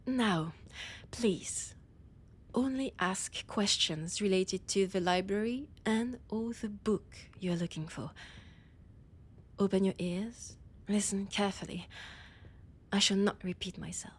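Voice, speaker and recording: A woman's voice: medium pitch, slow, long pauses, husky. A woman with a French accent voicing an annoyed, imperious vampire scholar in an intimate audio roleplay, haughty and commanding, often slipping into a whisper. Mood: stern, teasing menace.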